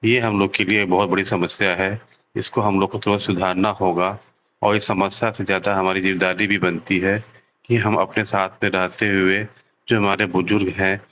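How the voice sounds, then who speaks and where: Man playing low, even-toned village headman. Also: highs cut off, slight background noise. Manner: measured, explanatory, moralizing. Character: village headman, calm, earnest, fair-minded leader. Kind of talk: Hindi children's cartoon dialogue, speech to villagers.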